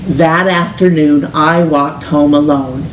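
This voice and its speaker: A woman reading a children's picture book aloud in an expressive, gentle, deliberate storytelling manner, with drawn-out emphasis on words. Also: sits low. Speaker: storytime reader, teacher. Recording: roomy, distant mic with faint hiss.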